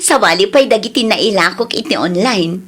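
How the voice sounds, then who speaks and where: An actress with a Filipino accent playing a loving, supportive career wife in an Ilocano radio drama. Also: female voice, medium pitch, normal speed, fairly bright tone, husky. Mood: delighted, affectionate.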